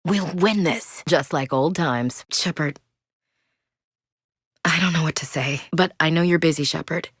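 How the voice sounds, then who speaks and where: A woman's voice: low-pitched, unhurried, long pauses, husky, no echo. An actress playing a tough young female marine soldier in a video game, blunt and soldierly with dry wit. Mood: steady. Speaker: squad soldier.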